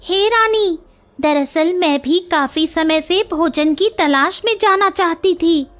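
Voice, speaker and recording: Very high-pitched motherly cartoon bird. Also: slow pace, fairly bright tone, faint music behind, full character voice. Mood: anxious. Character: small motherly female bird, anxious, quick to anger.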